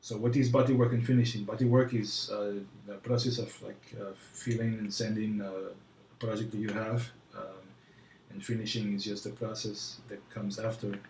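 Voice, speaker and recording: A low-voiced man gives an informal tutorial in a put-on French accent. His delivery is flat and halting, with hesitant phrasing. The recording is roomy and echoey. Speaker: tutorial presenter. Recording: roomy echo, faint background noise.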